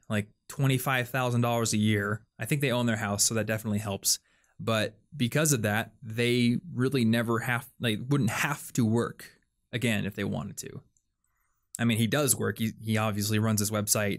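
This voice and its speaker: A man's voice: fairly low pitch, steady tone, normal speed. A young man, hosting a casual podcast chat and explaining ideas with plenty of fillers. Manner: chatty, explains things with lots of "like" and "um". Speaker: podcast host.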